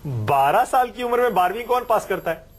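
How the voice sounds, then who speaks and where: A man anchoring a TV news show, interviewing a guest in the studio with brisk, teasing questions. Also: sits high, expressive.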